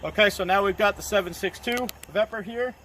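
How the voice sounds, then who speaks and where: A man's voice: high voice, moderate pace, a bit bright. A man casually talking through a firearm test outdoors at night, plainspoken and thinking aloud. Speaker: gun reviewer filming a test. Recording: noisy outdoor night recording.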